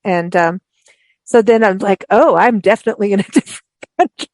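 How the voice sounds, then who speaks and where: Woman radio host from africa, chatty and animated, middle pitch, dry close sound. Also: brisk, no echo. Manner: chatty and animated, with frequent laughs and restarts. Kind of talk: casual interview chat.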